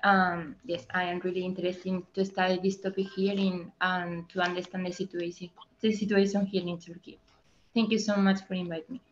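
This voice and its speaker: A woman's voice: fairly low pitch, little pitch movement, brisk. A woman from Spain introducing herself as a volunteer on a video call, friendly with simple phrasing. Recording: muffled call audio with faint noise.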